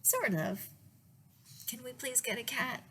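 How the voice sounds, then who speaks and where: A woman reading picture books aloud to children as a librarian, in a deliberate way with pauses and little asides to the listeners. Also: on the high side, sharp tone, slight background noise. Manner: slow, deliberate phrasing with pauses. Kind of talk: read-aloud storytime with asides to young listeners.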